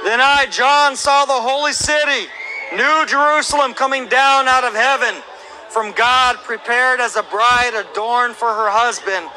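Street preacher, man in his thirties, high and bright, slow emphatic delivery, handheld mic outdoors. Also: a little hiss or hum, low-quality recording. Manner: reads scripture aloud, slow and emphatic, rising to shouts. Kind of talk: open-air sermon with scripture reading. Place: outdoor plaza by historic buildings. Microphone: handheld microphone through a speaker.

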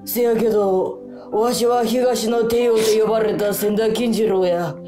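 A woman's voice: a little high, fairly even intonation, a little slow, warm tone, lightly acted. An actress playing a girl who poses as a canny old money-lending tycoon, pompous and drawling in old-fashioned Kansai speech. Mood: pompous, commanding. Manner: pompous, drawling merchant talk. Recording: music bed behind the voice.

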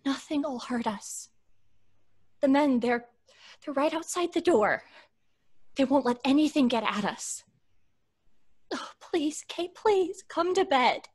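A fragile, terrified woman in an old radio horror play. Her voice is very high and the delivery slow, halting and pleading. She repeats herself as she edges toward hysteria. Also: animated. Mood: anxious pleading. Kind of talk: old-time radio horror drama acting.

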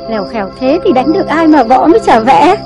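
A frank, nagging cartoon mother. She speaks quickly in a high voice. Soft music sits behind her. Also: lively intonation. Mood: scornful. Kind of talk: cartoon voice acting.

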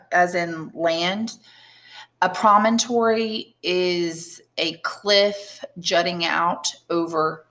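A woman's voice: on the low side, fairly bright tone. A woman English teacher giving a plain, didactic lesson and narrating a book. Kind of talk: explanatory lesson and audiobook narration.

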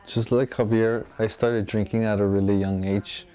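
Smooth mellow voiced guy early 20s, calm, kinda flat. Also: fairly low pitch, moderate pace. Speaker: interviewee. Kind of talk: documentary interview. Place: indoors in a small bedroom.

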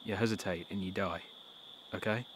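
A man acts out a hard-headed male survivor in an audio drama. His low voice is blunt and commanding, set in clearly noisy night ambience with crickets. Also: fairly even intonation, moderate pace, a bit bright. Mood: stern, insistent.

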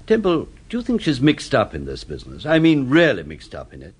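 An actor playing a brisk senior police officer with a British accent in a radio drama, formal and probing. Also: male voice, mid-range voice, wildly swooping pitch, husky.

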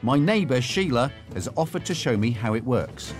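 A man's voice: mid-range voice, moderate pace. A male presenter, narrating a documentary and chatting with a curious, upbeat manner over music. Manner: curious, upbeat, lively delivery. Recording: music under the voice.

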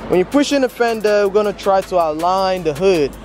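A man's voice: high-pitched, lively intonation, brisk, a little mellow, faint music behind. A young man in his twenties vlogging from an outdoor car auction lot with a handheld camera, giving casual hustle advice and calling people "man" and "bro". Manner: preachy hustle talk, repeats "man" and "bro". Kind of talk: casual vlog walkthrough. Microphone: handheld camera at arm's length.

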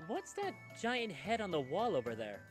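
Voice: medium pitch, wildly swooping pitch, normal speed, faint music behind. An actor playing a cautious, level-headed alien commander in a cartoon video game cutscene. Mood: wary.

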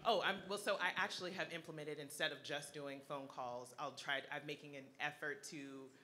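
A woman's voice: deep voice, fairly even intonation, brisk, a crowd in the background. A woman in the audience asking a question from the floor, in a polite, slightly hesitant manner. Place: large conference hall.

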